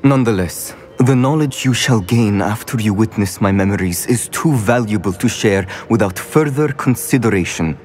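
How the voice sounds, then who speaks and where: An actor playing a wise, guarded professor who speaks in a measured, formal way. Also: male voice, fairly low pitch, darkish tone, faint music behind, lightly acted. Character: guarded wise old professor, a portrait keeper.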